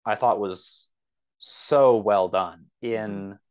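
A man with a fairly low voice talks casually as a guest on a podcast. He is enthusiastic and halting, often thinking out loud as he goes. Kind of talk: casual discussion.

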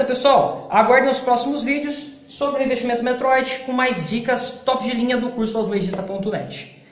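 A man in his forties from Brazil, giving a casual, chatty tile-setting tutorial in an unfinished kitchen and often calling out to his viewers. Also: extremely high pitch. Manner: chatty, calls viewers "pessoal" and "galera". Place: unfinished apartment kitchen, indoors. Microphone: camera at close range. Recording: echoey bare room.

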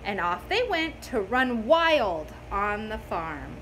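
A woman's voice: mid-range voice, takes it slowly. A middle-aged woman reading a children's story aloud expressively at home, talking to her young listeners. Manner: expressive, asking the listeners questions. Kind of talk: animated children's read-aloud. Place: room at home, indoors. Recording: faintly noisy home recording.

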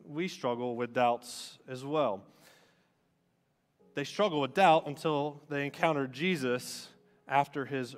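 Casual thirtysomething guy preaching, kinda high voice, ums and uhs, reverb from a big church room. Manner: relaxed, self-deprecating, many fillers. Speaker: student minister. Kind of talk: casual sermon. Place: large church stage. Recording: long reverb of a church hall.

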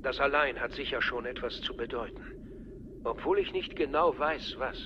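A man's voice: mid-range voice, close to an everyday voice. Man as a fatherly older mentor, dull sound. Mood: probing, fatherly. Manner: measured, paternal. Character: fatherly, patient, subtly manipulative older male mentor. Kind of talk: radio play acting. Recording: dull sound, clearly noisy ambience.

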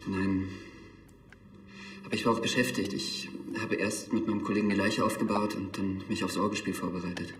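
An actor playing a reserved church organist who works as an undertaker. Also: male voice, lowish voice, flat delivery, fairly quick, a bit bright, a little hiss or hum, natural voice. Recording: echoey room.

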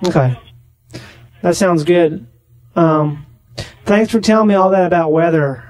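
A man making a deadpan prank phone call, rambling naively and slow to catch on. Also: a little high, no room sound. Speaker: prank caller on a comedy podcast.

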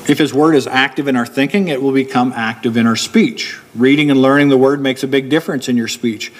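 A middle-aged man delivers a sermon at a church pulpit, with his voice taken through a pulpit microphone. He explains his points steadily and slows down deliberately when he wants one to land. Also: mid-range voice. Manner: steady, explanatory preaching, slowing down to stress key points. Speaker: preacher. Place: church hall with a wooden pulpit.

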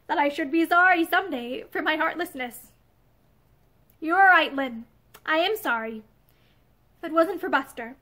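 A woman reading aloud, voicing a tearful young woman who pleads in a halting voice broken by sobs. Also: very high-pitched, lively intonation, fairly bright tone, voice pushed up. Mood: remorseful.

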